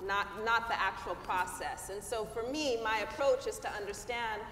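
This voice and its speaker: A woman panelist giving a measured, explanatory answer in a discussion. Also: mid-range voice, sharp tone, faint music behind. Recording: echoey hall, mic from a distance.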